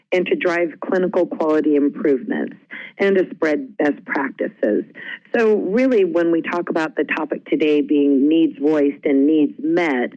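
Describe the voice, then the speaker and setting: Woman chatting through a webinar presentation, audio a bit dull and lo-fi. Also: low voice. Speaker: webinar presenter, healthcare quality specialist.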